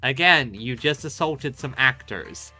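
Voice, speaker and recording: A man's voice: mid-range voice, expressive, unhurried, pushed and bright, soft background music. A man giving casual commentary as he plays through a game, talking off the cuff. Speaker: streamer playing and commenting on a game.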